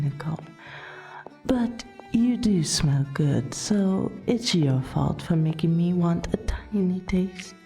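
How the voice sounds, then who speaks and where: A woman playing a flirtatious, courtly vampire royal in an ASMR roleplay, teasing and breathy. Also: sits low, mellow. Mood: flirty, teasing.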